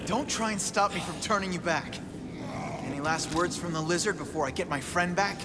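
An actor playing an earnest, wisecracking young superhero in a video game, speaking with urgency. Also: male voice, sits high, a little fast, very noisy, lightly acted. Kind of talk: video game action hero acting. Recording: loud action music behind the voice.

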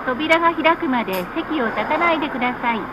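Woman, high voice, formal bus announcer, measured and polite, noisy vehicle background. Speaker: recorded bus stop announcer. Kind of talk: scripted announcement. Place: played over speakers inside a moving bus. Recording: muffled, noisy recording inside a bus. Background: bus engine and road noise.

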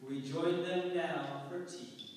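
A man narrating a puppet show as a storybook tale, with deadpan comic delivery. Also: high-pitched, slow. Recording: echoey hall, far from mic, music.

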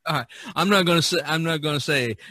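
A man hosting a podcast in casual chat, with a husky voice. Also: on the high side, quick pace, sharp tone, no room sound, clean studio sound. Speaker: podcast host.

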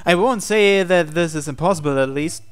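A woman's voice: lowish voice, a little fast, clean tone, soft background music. A woman reading translated visual novel text aloud, acting out the hero's lines in a conversational way. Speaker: game player reading the hero's dialogue aloud.

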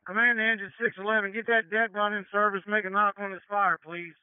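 Male battalion chief, high clear voice, directive and procedural, phone-like radio band. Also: level tone, quick pace, dry recording. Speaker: battalion chief, incident commander. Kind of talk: fire radio command traffic. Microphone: two-way emergency radio. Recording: tinny, narrow-band fire radio transmission.

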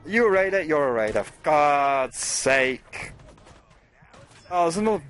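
A man's voice: a little high, animated, measured pace, a bit bright, clean tone, faint music behind. Rambling, swearing gameplay commentator, man. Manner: rambling, swearing, talking to chat. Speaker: let's play host.

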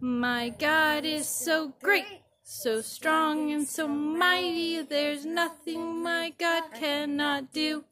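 A woman leading children through worship action songs, cheerfully urging them to sing louder. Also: high-pitched, fairly even intonation, very slow, faint music behind. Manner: encouraging, cheerful song leading.

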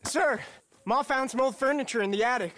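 An actor playing a polite, eager young ranch lad in a video game. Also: male voice, extremely high pitch, brisk, a bit bright. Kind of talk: video game cutscene acting.

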